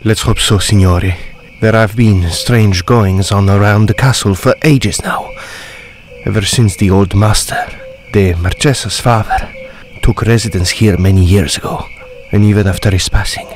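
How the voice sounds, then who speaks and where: A grave Italian manservant of a gothic radio play, acted by a man. His voice is low, his manner deferential and foreboding, with faint night-time noise behind him. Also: moderate pace, natural voice. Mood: uneasy, foreboding. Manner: deferential, ominous storytelling. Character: grave Italian castle servant, deferential, ominous. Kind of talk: period audio drama acting.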